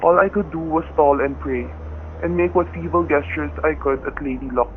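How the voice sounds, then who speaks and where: A man playing a quick-thinking hostage husband in a radio thriller, talking and narrating in a tense, hard-boiled way. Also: fairly high pitch, close to an everyday voice. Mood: grim, tense. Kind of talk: radio thriller acting and first-person narration. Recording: dull, noisy radio-play recording.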